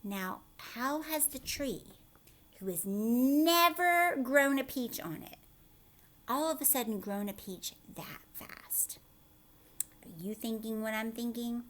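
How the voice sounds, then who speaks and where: A woman teacher reading a storybook aloud to children, expressive, with explanatory asides and questions to the listeners. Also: fairly high pitch.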